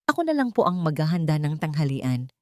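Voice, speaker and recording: A woman's voice: deep voice, sing-song, brisk, a little mellow, dry recording, clean studio sound. A woman voicing a cold, snobbish wife who feigns sweetness, clipped and condescending, slipping English into Tagalog in an audio drama story. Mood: cold, firm.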